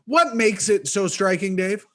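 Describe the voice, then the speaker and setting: A man co-hosting a comedy podcast over a video call, talking quickly and rambling as he riffs. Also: sits high, pushed and bright. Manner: quick, rambling, riffing, laughing often. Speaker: co-host.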